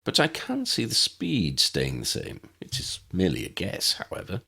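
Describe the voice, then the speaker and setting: A man voicing an erudite, courteous, cautious gentleman adventurer who speaks in formal, precise phrases. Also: sits low, huge pitch swings, vocal fry, husky, heavily acted. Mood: pondering. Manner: formal, measured, precise wording.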